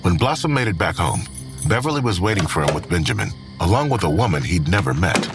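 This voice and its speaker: A man's voice: deep voice, big pitch swings, quick pace. A man reading scripted third-person narration as the storyteller of an audio drama. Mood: storytelling. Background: music.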